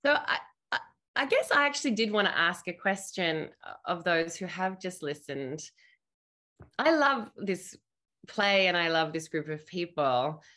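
A woman's voice: fairly low pitch, big pitch swings, measured pace, sharp tone. A woman, a director, speaking casually in a talkback discussion on a video call. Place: home room on a video call. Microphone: video call microphone.

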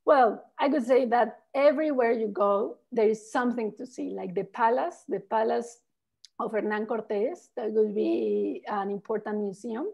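A woman's voice: a little high, normal speed, creaky, a little mellow, low-quality recording. A woman from Mexico with a Mexican accent, a local tour guide answering questions on a virtual tour over a video call, explaining things in a friendly way and often tagging her sentences with "no?". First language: Spanish. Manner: friendly, explanatory, tags phrases with "no?".

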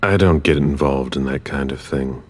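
A very deep, stern vampire investigator. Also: male voice, moderate pace, slightly rough. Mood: cool, probing. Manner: curt, interrogating, intimidating. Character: stern, commanding male vampire investigator, coldly impatient. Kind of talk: video game cutscene acting.

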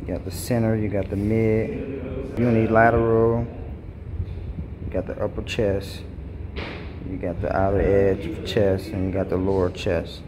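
A man's voice: on the low side, little pitch movement, measured pace, pauses often, over music. A man in his thirties vlogging casually from a resort, talking into a phone camera at arm's length, laid-back and hyping his workout. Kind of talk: casual vlog chat. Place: resort gym, then walking outdoors and a buffet. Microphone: phone camera held at arm's length.

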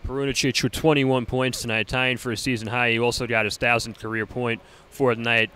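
A young man doing postgame interviews in a college gym, rattling off scores and stats in a crisp broadcast delivery into a handheld mic. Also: medium pitch, flat delivery, fairly quick, pushed and bright. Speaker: sideline reporter.

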